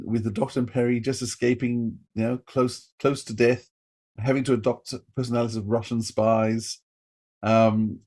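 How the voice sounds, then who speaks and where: Enthusiastic man reviewing, halting and effusive. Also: mid-range voice, darkish tone. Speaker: podcast co-host and reviewer. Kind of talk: enthusiastic, casual review chat.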